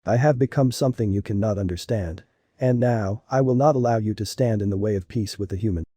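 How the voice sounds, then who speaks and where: A man playing a stoic, principled alien warrior exile in a video game, speaking formally and solemnly in a husky, gravelly voice. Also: fairly low pitch, dark tone, dry recording. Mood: defiant. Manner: formal, measured, solemn phrasing.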